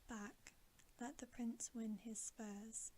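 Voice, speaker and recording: A woman voicing a great, calm, royal male lion in a soft, grave whisper for a bedtime storybook. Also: fairly high pitch, slow pace, a little hiss or hum. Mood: low, commanding. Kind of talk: bedtime ASMR storybook reading.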